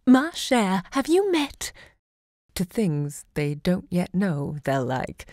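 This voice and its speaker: An actress playing a self-assured literary salon hostess in an audio drama, poised and savouring each word. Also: female voice, fairly low pitch, sing-song, slow pace, a little mellow, no room sound, studio quality. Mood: imperious, charming.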